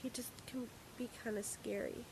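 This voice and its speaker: A young woman playing a quiet, wry psychiatric patient. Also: a little high, sing-song, normal speed, vocal fry, slight background noise, rough recording. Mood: hesitant.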